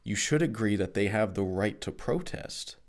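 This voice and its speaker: A man's voice: on the low side, animated, creaky. A Canadian man with a Canadian accent, hosting a podcast and talking in an earnest, conversational way. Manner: earnest, conversational, with quick restarts. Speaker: podcast host. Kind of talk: podcast interview.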